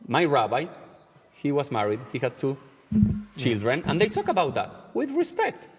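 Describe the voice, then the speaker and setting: A man from Argentina with an Argentine Spanish accent, speaking English as a later language. He is a rabbi answering interview questions in a measured way, slowing down to stress key ideas. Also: mid-range voice, huge pitch swings, breathy edge, big-room sound. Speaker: rabbi, guest.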